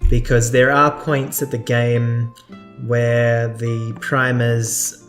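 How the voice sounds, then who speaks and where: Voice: medium pitch, flat delivery, slow pace. Casting: let's play host, informal gameplay commentary, faint game music underneath.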